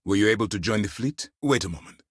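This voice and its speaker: Stern space fleet commander, low voice, highly dynamic intonation, formal and deliberate, video game dialogue, dry close sound. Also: male voice, expressive, no echo. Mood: measured, grave. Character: stern, principled male space navy commander, measured and authoritative. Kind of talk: scripted dramatic game dialogue.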